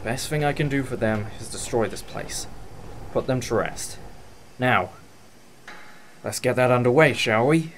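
A man's voice: medium pitch, unhurried, long pauses, fairly bright tone, slight background noise. A man playing a decisive, protective time-traveller in a fan audio drama. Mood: calm command.

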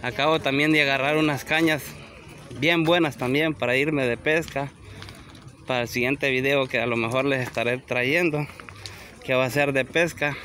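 A man's voice: medium pitch, steady tone, normal speed, bright, forceful tone, a little hiss or hum. A man casually vlogging a walk through an outdoor flea market, talking straight to his viewers on a handheld phone camera. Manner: chatty, addresses viewers directly, asks for likes. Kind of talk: casual walk-and-talk vlog. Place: outdoor flea market, sunny gravel lot.

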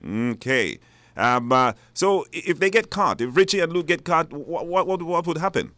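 A man hosting a live radio phone-in, speaking haltingly and repeating words. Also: fairly high pitch, animated, pushed and bright, no room sound. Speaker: radio talk show host. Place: radio studio.

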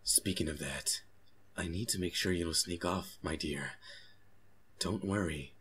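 Man as smug low-voiced gentleman mage. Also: unhurried, husky, full character voice. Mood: pleased, chatty. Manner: polite, condescending, old-fashioned formal wording. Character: smug, genteel gentleman mage, condescending and fussy. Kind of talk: comic fandub acting.

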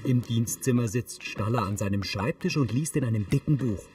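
Male audio-description narrator, medium pitch. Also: brisk, a little mellow, a little hiss or hum.

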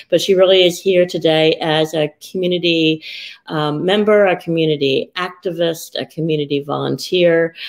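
A middle-aged woman hosting a video call interview from a room at home, speaking with frequent ums. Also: on the low side. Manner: warm welcoming, frequent ums. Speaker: host, executive director.

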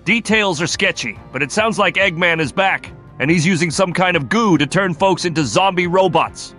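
Man voicing a brash, bright-voiced crocodile detective boss. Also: high voice, moderate pace, soft background music. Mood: businesslike. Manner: commanding, barks orders. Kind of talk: comic dub voice acting.

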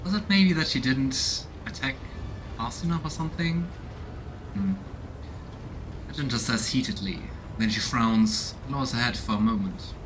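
A man in his thirties commentates on a video game from a home room. His voice is medium-pitched and his delivery is musing and casual, shifting into read-aloud narration. Clear game noise runs behind him. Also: normal speed. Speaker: let's play host. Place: small home room indoors. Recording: noisy, game ambience behind voice. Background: video game ambience.

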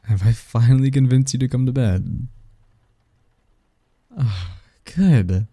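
Man acting as a dark-voiced, seductive demon lover. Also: medium pitch, slow pace, no echo, clean studio sound. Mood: teasing, seductive. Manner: flirtatious, formal wording, soft intimate delivery, chuckling. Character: seductive possessive male sleep demon lover, teasing and doting. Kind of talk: intimate ASMR roleplay acting.

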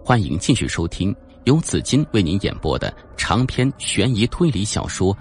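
A man's voice: fairly low pitch, big pitch swings, moderate pace. A man giving a scripted narration of a suspense novel as an audiobook narrator.

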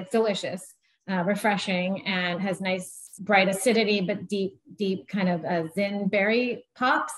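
A woman's voice: medium pitch, rough recording. A woman in her forties, a winery guest, chatting casually on a video call with lots of fillers. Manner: chatty, many fillers and restarts, laughs easily. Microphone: video call webcam.

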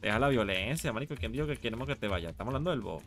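A man's voice: mid-range voice, animated, very fast, fairly bright tone, faint music behind. A Venezuelan man in casual gaming voice chat, talking with plenty of slang and swearing. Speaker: player in a gaming group.

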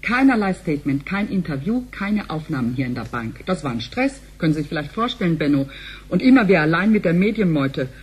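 A woman's voice: low voice, sing-song, a little fast, husky, exaggerated voice. A woman playing a gossipy, obliging bank clerk with a breezy, colloquial way of talking in a sci-fi radio play. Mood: flustered.

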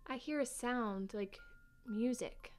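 A woman's voice: fairly high pitch, slow pace, darkish tone, faint music behind. A woman playing a candid, worried young sister in a family audio drama, with a childlike way of talking. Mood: uneasy.

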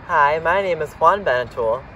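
A young man with a fairly high voice reads a children's picture book aloud in a lively, varied tone. He is recorded outdoors from a little distance, with faint background noise. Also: moderate pace. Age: young adult. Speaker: reader. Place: outdoors in autumn, seated by shrubs. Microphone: camera several meters away.

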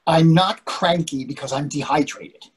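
A man's voice: fairly high pitch, normal speed, slight background noise, natural voice. Grumpy, exasperated older husband, acted by a man. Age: 60s. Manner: exasperated, argumentative. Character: grumpy, hungry middle-aged husband out at dinner. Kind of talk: readers theatre dialogue. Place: indoors on a video call.

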